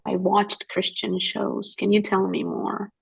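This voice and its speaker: Husky, gravelly-voiced woman telling stories. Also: medium pitch, little pitch movement, unhurried, poor audio. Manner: earnest, storytelling, quotes others in dialogue. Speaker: guest missionary. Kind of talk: podcast conversation.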